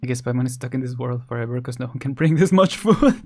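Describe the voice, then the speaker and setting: A man's voice: mid-range voice, quick pace, soft background music. A man streaming a video game with casual, hesitant, chuckly running commentary.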